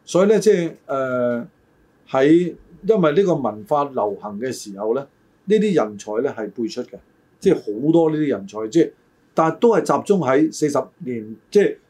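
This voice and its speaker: A man's voice: medium pitch, expressive, normal speed, dark tone, husky. An older man, co-hosting a casual chat and reminiscing in a hesitant, roundabout way. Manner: hesitant, roundabout, hedging his recollections. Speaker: talk show co-host.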